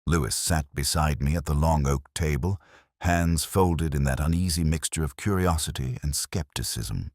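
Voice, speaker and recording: A man reading scripted first-person narration of a detective mystery in a measured, brooding, literary manner. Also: extremely low pitch, a little mellow, dry recording, clean studio sound. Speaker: audio drama narrator.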